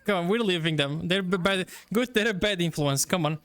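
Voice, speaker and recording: A man with a high voice hosts a live stream, teasing his friends and laughing between lines. Faint music plays under his chat. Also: a little fast, fairly bright tone. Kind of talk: casual chat while playing a game.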